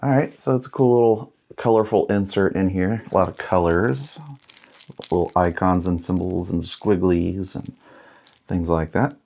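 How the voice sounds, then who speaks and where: A man's voice: deep voice, moderate pace, creaky, a little mellow. A man in his thirties giving laid-back, casual unboxing commentary as a host, musing aloud and reading out bits as he goes. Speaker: board game unboxing host.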